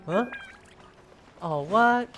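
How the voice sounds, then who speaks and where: A man's voice: sits high, lively intonation, extremely slow, a bit bright, faint music behind. A man giving casual commentary as he streams himself playing a video game.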